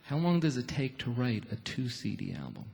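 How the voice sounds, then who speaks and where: A man's voice: mid-range voice, wildly swooping pitch, moderate pace, creaky, darkish tone, breathy edge. A man hosting a live radio show, interviewing and announcing from a theatre stage.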